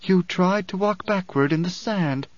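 A man's voice: high voice, measured pace, no echo, lo-fi sound, pitched above the performer's own voice. A man voicing a fierce young priestess and oracle in an audiobook, with a declamatory, incantatory and cryptic manner. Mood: solemn.

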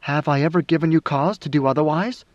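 A strong, devout woman guard as a male narrator plays her speaks in blunt, deliberate phrases. She stays guarded and terse, an audiobook figure in a slightly muffled, roomy recording. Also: close to an everyday voice. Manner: deliberate, terse, low-voiced.

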